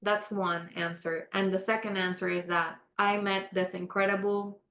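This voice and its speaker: Woman from panama, middle pitch, earnest storyteller, echoey room. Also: little pitch movement, normal speed, fairly bright tone. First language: Spanish. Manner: earnest, storytelling, emphatic repeats. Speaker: guest, architect. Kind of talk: casual interview chat.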